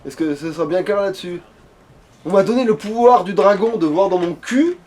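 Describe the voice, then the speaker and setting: High-pitched man bantering over a video game. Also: brisk, a little mellow. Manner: crude, jokey, sweary banter. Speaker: let's play player. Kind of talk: casual gaming commentary with a co-host.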